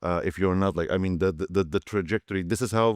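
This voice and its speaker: A man from Turkey answering on a conference panel, halting and full of fillers and restarts. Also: sits low, fairly even intonation, smooth, dry recording, studio quality.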